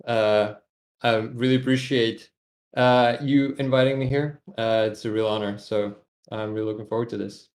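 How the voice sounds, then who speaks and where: A man's voice: medium pitch, fairly even intonation, normal speed, reverberant. A young man from Europe, guest on a casual podcast interview, speaking with many hesitant fillers. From Europe, half Serbian half Czech. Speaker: guest, aircraft developer.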